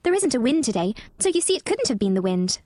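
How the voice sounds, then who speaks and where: A woman's voice: sits high, very animated, fast, warm tone, no echo, voice pushed up. A woman voicing an earnest, eager and curious little girl in a storybook reading. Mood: curious, insistent.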